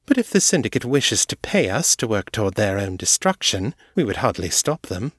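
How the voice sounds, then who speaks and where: A man's voice: fairly low pitch, sing-song, normal speed, dry recording, studio quality, voice pushed up. A man doing a haughty aristocratic vampire lady. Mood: measured, cool. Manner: polished, formal, patronizing. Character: haughty aristocratic female vampire, cool and condescending.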